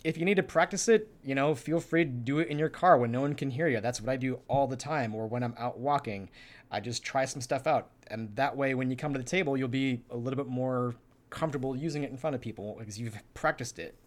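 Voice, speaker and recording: Mid-pitched man chatting through tips. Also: fast. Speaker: show co-host giving role-playing tips. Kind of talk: casual instructional chat.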